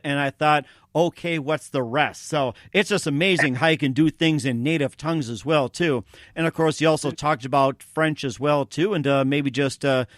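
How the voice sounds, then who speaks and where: A slightly higher-pitched man hosting a radio interview show, recorded close and dry with no room sound. He pads his sentences with "um", "you know" and "as well, too". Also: a bit bright, no echo.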